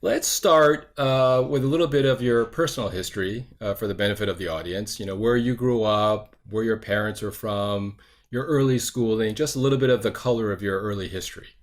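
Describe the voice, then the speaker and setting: An older man hosting an interview, asking questions in a measured, courteous way. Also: on the low side. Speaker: host interviewer. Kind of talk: interview conversation.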